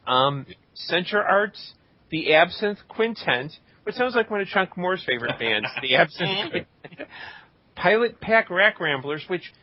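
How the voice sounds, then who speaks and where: A man hosting a podcast in a casual, tongue-in-cheek way as he reads out listener shout-outs. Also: high-pitched, animated, measured pace, no echo. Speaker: podcast host. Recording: dull lo-fi voice recording.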